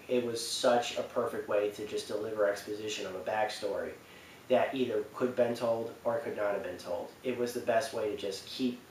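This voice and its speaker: A man giving informal, halting commentary on video game cutscenes, repeating himself for emphasis. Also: fairly low pitch. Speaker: video host. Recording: echoey room, distant mic, faint noise.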